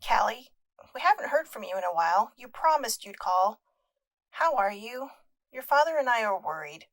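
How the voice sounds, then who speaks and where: A fretting middle-aged mother in an audio drama phone message. Her voice is high and dryly recorded, worried and insistent toward her grown daughter. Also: big pitch swings, moderate pace, fairly bright tone, no echo.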